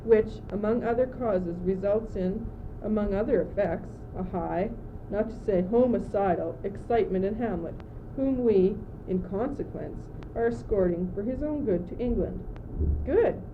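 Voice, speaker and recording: Dull noisy recording, teenage girl acting anxious naive young courtier, high voice, earnest, school radio drama. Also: moderate pace, lightly acted. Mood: earnest summing up. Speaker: student actor. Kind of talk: amateur radio play. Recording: dull old tape, loud background noise.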